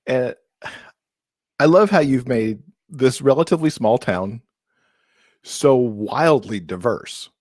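A man's voice: mid-range voice, expressive, takes it slowly, long pauses, darkish tone, no echo. A middle-aged American man hosting a conversational author interview, speaking into a close microphone. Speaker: podcast co-host interviewing an author. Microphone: large close microphone, video call.

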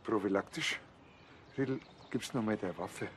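A sly male village policeman with a Bavarian accent, played by an actor. His low voice is dry and deadpan, with clear noise behind it in a TV crime comedy. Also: moderate pace, natural voice.

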